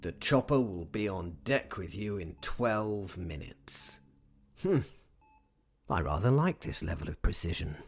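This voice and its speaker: Slow, gravelly professor. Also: male voice, sits low, sing-song, heavily acted. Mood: brisk, dry. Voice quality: gravelly, husky. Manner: hesitant, musing, dryly formal. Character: weary, wry, courteous British male professor. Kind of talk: audio drama monologue.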